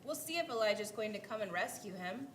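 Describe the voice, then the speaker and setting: A woman's voice: mid-range voice, lively intonation, a little fast, natural voice. A woman playing a mocking, skeptical onlooker at an execution in a staged dramatic Bible reading. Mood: curious, skeptical. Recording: roomy live hall with background noise.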